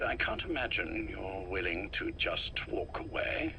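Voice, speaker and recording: Calculating secret org boss from a video game, real slow n measured, sharp commanding tone, bit of hiss. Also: male voice, deep voice, gravelly, heavily acted. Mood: cool, commanding. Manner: measured, deliberate, cool and commanding. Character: calm, calculating older male leader of secret organization. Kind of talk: dramatic video game voice acting. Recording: faint noise, recorded tape audio.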